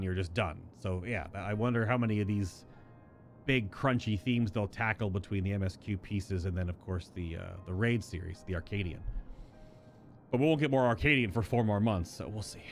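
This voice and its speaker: Male game streamer musing over music. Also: fairly low pitch. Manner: chatty, musing aloud, thinking through story points. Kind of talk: live reaction commentary and reading game dialogue aloud. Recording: music behind the voice. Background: video game music.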